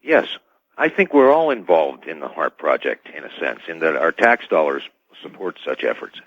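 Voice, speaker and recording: Very deep, animated male radio guest. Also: breathy edge, no room sound. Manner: measured, guarded. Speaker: radio guest, technical expert. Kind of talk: interview answers. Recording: dull band-limited radio sound.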